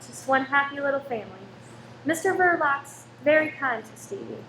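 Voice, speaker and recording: Woman acting a high-voiced, guarded wife, echoey. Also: moderate pace. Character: polite, protective married American woman, gently wry. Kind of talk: radio play acting. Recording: echoey, faintly noisy room.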